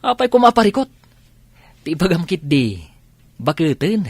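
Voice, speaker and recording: An actor in an Ilocano radio drama playing a nosy, teasing buddy who warns a friend of trouble. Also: male voice, high voice, sing-song, moderate pace. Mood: teasing, warning.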